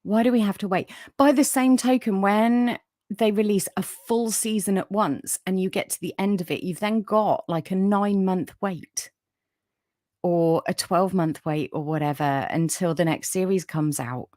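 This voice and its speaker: A woman's voice: mid-range voice, normal speed, no echo, studio quality. A woman with a soothing voice hosting a casual livestream, chatting with viewers and reading their comments with lots of fillers. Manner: chatty, warm toward viewers, many fillers. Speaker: livestream podcast host.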